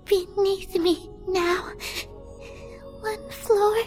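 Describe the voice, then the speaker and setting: A woman voicing an eerie girl who speaks slowly and drags out her words in an audio drama. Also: very high voice, little pitch movement, a little mellow, heavily acted. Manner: slow, drawn-out, otherworldly. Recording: music underneath.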